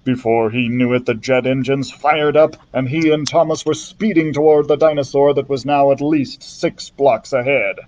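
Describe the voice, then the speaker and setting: A male librarian reading a children's adventure book aloud in a steady storytelling manner, with the odd slip. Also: medium pitch, moderate pace, few pauses, dull audio. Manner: steady storytelling narration with small slips.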